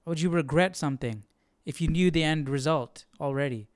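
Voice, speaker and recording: Smooth-voiced man thinking aloud, dry sound. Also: on the high side, brisk, dry recording. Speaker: host of a Bible study stream. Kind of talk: casual live commentary, reading aloud.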